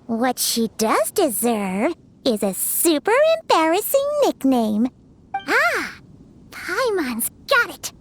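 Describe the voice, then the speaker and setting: A woman voicing a small, excitable, childlike fairy companion in a video game, chatty and petulant with a squeaky voice. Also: takes it slowly, a little hiss or hum, exaggerated voice. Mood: indignant. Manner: refers to self in third person, exclamatory. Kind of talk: video game character acting.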